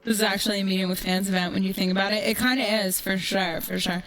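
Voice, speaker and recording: A woman's voice: mid-range voice, fairly even intonation, quick pace, fairly bright tone, soft background music, low-quality recording. A woman streamer chatting casually and ranting in a brash, profane, sarcastic way. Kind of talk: casual livestream chat with ranting storytelling.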